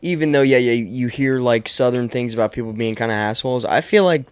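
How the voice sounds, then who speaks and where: A man's voice: medium pitch, a little fast, clean tone, dry recording. A man in his twenties co-hosting a podcast, chatting casually with short reactions and casual swearing. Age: 20s. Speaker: podcast host.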